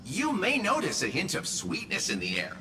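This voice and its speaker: An actor playing a flamboyant, pompous, flattering herald in a video game, with theatrical, florid delivery. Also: male voice, high-pitched, normal speed, a little hiss or hum, heavily acted. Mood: grandiose, delighted. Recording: long reverb, faint music behind.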